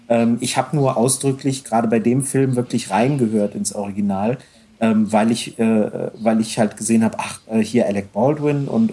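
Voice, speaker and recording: A man's voice: lowish voice, warm tone, breathy edge. A man co-hosting a casual podcast chat, talking at length with many restarts and hesitations. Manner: rambling, frequent restarts and hesitations. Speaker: podcast co-host.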